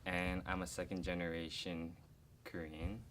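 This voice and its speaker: A young man who is a business student, answering interview questions calmly, often trailing off quietly. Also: low-pitched, moderate pace.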